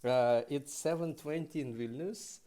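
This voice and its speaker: A man in his thirties hosting an online interview over a video call, speaking in a measured way with slightly non-native phrasing. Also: fairly high pitch, mellow. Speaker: host. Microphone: headset on a video call.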